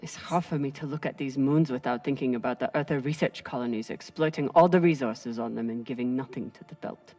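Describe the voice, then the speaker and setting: An actress playing a tough, sardonic spacer woman in a video game, dry, teasing and commanding, gruffly fond underneath. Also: extremely low pitch, a little fast, hardly any pauses, warm tone, soft background music. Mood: sardonic, steady. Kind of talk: video game cutscene acting.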